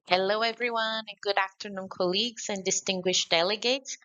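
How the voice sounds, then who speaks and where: A woman's voice: medium pitch, steady tone, a little fast, bright, forceful tone, muffled recording. A woman from Brazil with a Brazilian Portuguese accent, giving an earnest prepared video address to a conference. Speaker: conference speaker. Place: remote, pre-recorded video.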